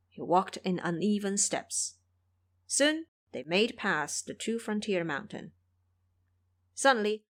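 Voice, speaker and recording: A woman narrates a dramatic story in scripted audio drama style. Her delivery is expressive and explanatory, with a very lively pitch that rises and dips.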